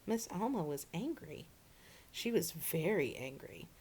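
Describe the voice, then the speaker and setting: A woman reading a children's storybook aloud in an expressive, animated way, as a storyteller for children. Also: on the low side, unhurried, breathy edge. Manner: expressive, acts out the dialogue.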